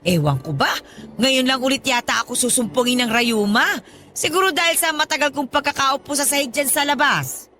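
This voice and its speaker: A woman's voice: sits high, expressive, a little fast, sharp tone, a little hiss or hum. A woman playing a demanding, wheedling older godmother with a Filipino accent, chatty and nagging in a radio drama. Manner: chatty, nagging, theatrically pleading. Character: demanding, wheedling older godmother guilt-tripping her goddaughter. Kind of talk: Tagalog radio drama acting. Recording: music behind the voice.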